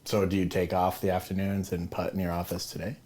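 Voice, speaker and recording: A man from Southern California hosting a casual interview, laid back and quick to agree and laugh. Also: low voice, monotone, a little mellow. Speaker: podcast host.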